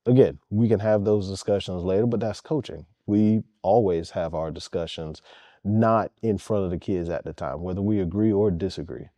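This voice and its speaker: A man's voice: low voice, moderate pace, warm tone, dry recording. A man, a head basketball coach, answering interview questions earnestly and emphatically, repeating his key points.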